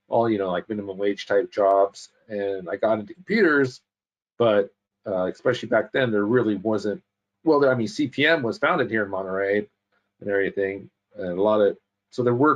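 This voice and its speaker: A man's voice: on the low side, muffled recording. A man in his fifties, a guest on an interview show, telling his life story in a rambling, self-correcting way. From United States. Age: 50s. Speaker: podcast guest. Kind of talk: casual interview chat.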